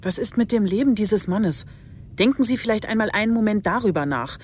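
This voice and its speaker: An actress playing a determined, blunt female police chief inspector in radio drama dialogue, brisk, insistent and sardonic. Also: medium pitch, expressive, darkish tone, slight background noise, natural voice. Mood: insistent, reproachful.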